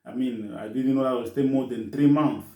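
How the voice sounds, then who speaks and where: A man's voice: medium pitch, fairly even intonation, dark tone. Congolese man telling his story, echoey. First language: French. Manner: plain, halting English with restarts. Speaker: interviewee. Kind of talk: casual interview talk. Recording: echoey room.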